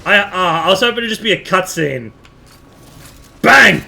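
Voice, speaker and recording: Bright high-voiced man streaming a game. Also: animated, normal speed, vocal fry, soft background music. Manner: irreverent, playful asides. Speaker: live streamer playing a game. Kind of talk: casual game commentary.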